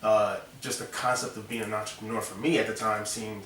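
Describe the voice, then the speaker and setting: A man's voice: fairly low pitch, brisk. A man talking casually as an interview guest, rambling with lots of fillers. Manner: relaxed, rambling, many fillers. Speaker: interview guest, design studio owner. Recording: echoey room, distant mic, faint noise.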